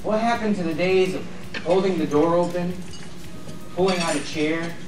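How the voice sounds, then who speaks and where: A man's voice: sits high, normal speed, noisy background. A man speaking at a conference with an emphatic, rising delivery. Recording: echoey hall, from far off, faint music.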